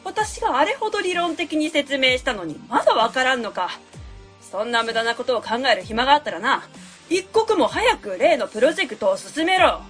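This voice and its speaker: A woman's voice: high-pitched, animated, fairly quick, a bit bright, faint music behind. A woman playing a haughty, scornful academic adviser who barks orders in a comic radio drama. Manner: haughty, commanding.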